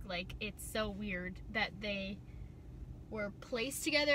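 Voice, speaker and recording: A young woman in her twenties chatting casually as a book vlogger, rambling with many ums and likes and sounding a little nasal from a cold. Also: on the high side. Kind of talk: casual vlog chat. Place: car. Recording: clear car and road noise behind.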